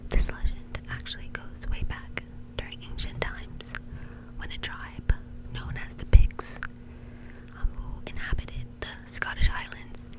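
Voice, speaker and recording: A woman telling a story in a soft, breathy ASMR whisper, in a casual, chatty way. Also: a little slow, low-quality recording. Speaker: ASMR video host.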